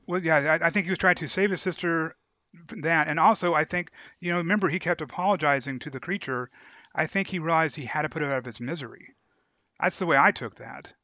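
A man's voice: a little high, fast, pushed and bright, no room sound, lo-fi sound. A man co-hosting a podcast in a casual book discussion, hesitant and often searching for words. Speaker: podcast co-host.

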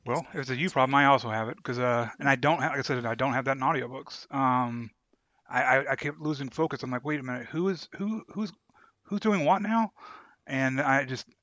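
A man co-hosting a podcast, chatting casually and haltingly as he searches for words. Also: medium pitch, a bit bright, dry recording, lo-fi sound. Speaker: podcast co-host. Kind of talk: casual chat reviewing an audio drama.

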